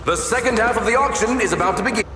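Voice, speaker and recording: A man playing a showy, flattering auctioneer in a video game, theatrical and grandiose, as if addressing a hall. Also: high voice. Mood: welcoming showman. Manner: theatrical, grandiose, drawn-out calls. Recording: echoey hall, noise behind.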